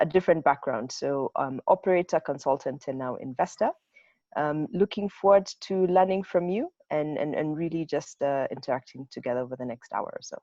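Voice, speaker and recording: A woman's voice: low-pitched, darkish tone, dry recording. A woman introducing herself on a webinar panel, hesitant, with many uhs. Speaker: impact investor. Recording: dry dull call audio.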